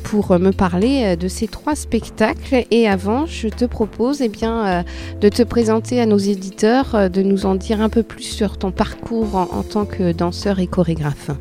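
A woman's voice: medium pitch, normal speed, warm tone, faint music behind. A woman hosting a radio interview, reading an announcement and then asking questions with frequent fillers. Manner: reads intro smoothly, then asks with fillers. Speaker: radio host.

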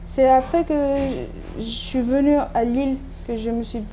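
A woman's voice: sits high, fairly even intonation, a little slow, over music. A woman in her thirties from Turkey, a native Turkish speaker, answering interview questions in a casual, hesitant way with many fillers and restarts. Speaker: interviewee, doctoral student. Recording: background noise.

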